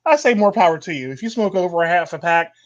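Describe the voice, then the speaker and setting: A high-pitched man hosting a casual livestream chat. He is opinionated and talks in long, rambling runs, laughing easily. The audio is dull and lo-fi, like a remote call. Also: lively intonation, fast.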